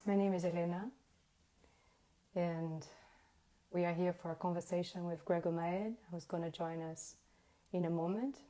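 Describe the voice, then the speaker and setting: A woman from Brazil with a Brazilian accent, hosting a live online conversation in halting, earnest speech, searching for her words. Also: lowish voice, steady tone, warm tone, rough recording. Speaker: host. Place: home in Spain. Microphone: video call.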